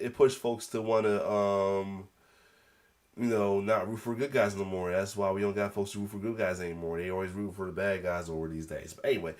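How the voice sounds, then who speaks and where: A congested man with a cold, giving a casual, rambling news reaction as the host, with restarts and coughs. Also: fairly low pitch. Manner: rambling, repeats "as well too", many restarts; occasional coughs.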